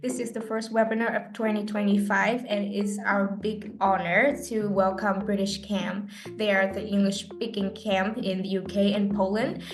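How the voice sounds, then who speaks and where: A woman's voice: medium pitch, little pitch movement, normal speed, hardly any pauses. A woman hosting a webinar, giving a welcoming, upbeat formal introduction of the guest. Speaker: webinar host. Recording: echoey room with music.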